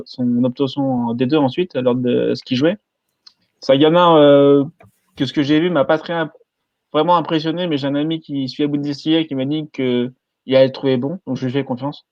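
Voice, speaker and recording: A mid-pitched man speaks in a casual podcast chat, pausing often on fillers. His mic sounds dull and lo-fi, with a dry, close sound and no room echo. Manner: hesitant, many fillers. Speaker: podcast panelist.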